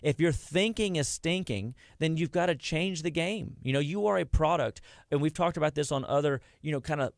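A man's voice: a little high, moderate pace, gravelly, few pauses, no echo, clean studio sound. A man, giving a rambling, folksy talk about health as a wellness clinic host. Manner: rambling, folksy, loses his train of thought.

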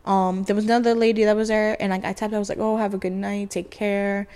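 A young woman with a high-pitched, nasal voice, co-hosting a podcast and telling a story in casual chat. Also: clear, resonant tone. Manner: fast, animated, quotes people in dialogue. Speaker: podcast co-host.

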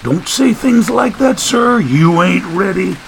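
A man's voice: sits high, animated, slow pace, vocal fry, slight background noise, voice pushed up, full character voice, poor audio. A man voicing a folksy, preachy wisecracking man who talks in country grammar and sermonizing quips. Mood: teasing.

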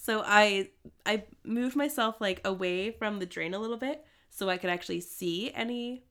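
A woman co-hosting a podcast, her voice stuffy and a bit rough from a cold. Under the congestion her tone stays smooth and clear. She rambles through casual chat with plenty of "like" and "um". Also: mid-range voice, normal speed, a bit bright. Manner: rambling, many fillers like "like" and "um". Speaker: podcast co-host.

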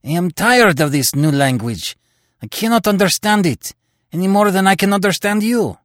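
A man's voice: mid-range voice, big pitch swings, a little slow, no room sound, clean studio sound. A man voicing an angry, suspicious young Spanish recruit who demands answers passionately. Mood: agitated. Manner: passionate, shouting and pleading.

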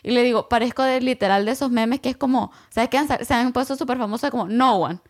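A woman's voice: a little high, fast talker. A young adult woman musing aloud in casual conversation, rambling with lots of fillers and slipping in English words.

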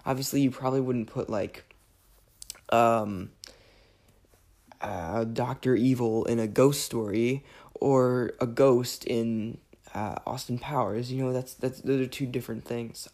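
A podcaster with a raspy voice, under the weather, rambling through a self-deprecating, jokingly exasperated film rant. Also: mid-range voice, darkish tone. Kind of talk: casual rambling film commentary.

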